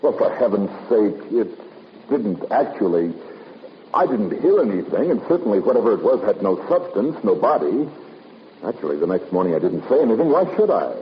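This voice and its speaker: Fussy, evasive male witness for vintage radio drama; lowish, animated, halting, muffled old recording. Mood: defensive. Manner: hesitant, halting. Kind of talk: 1950s radio drama acting. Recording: muffled noisy 1950s radio, long reverb.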